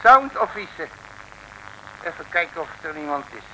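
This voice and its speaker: An actor playing a brash, jovial, cheeky detective with a folksy, swaggering manner in an old Dutch radio serial. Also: male voice, a little high, very animated, normal speed, bright, forceful tone, heavily acted, rough recording. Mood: sly, jaunty. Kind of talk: 1950s-60s Dutch radio serial acting. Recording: old radio recording with faint noise.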